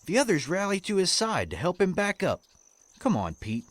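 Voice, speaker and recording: A man's voice: high-pitched, wildly swooping pitch, normal speed. A man playing an earnest, neighborly cowboy hero who speechifies in a comic western audio drama. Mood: earnest, encouraging.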